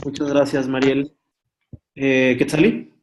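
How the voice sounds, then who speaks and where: A man leading an online workshop on a video call, briskly calling on each participant in turn. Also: mid-range voice, flat delivery, noisy background. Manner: brisk, calls on participants one by one. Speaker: workshop instructor.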